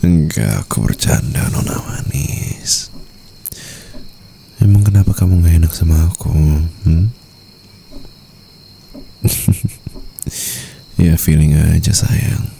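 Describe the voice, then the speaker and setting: A very gravelly, low-pitched Indonesian mafia boss , played by a man, murmurs to his lover in an ASMR roleplay. He is tender but possessive, teasing and soothing. Faint noise of a night with crickets sits behind him. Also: dark tone, exaggerated voice. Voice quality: deep, gravelly. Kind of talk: ASMR roleplay, intimate boyfriend monologue.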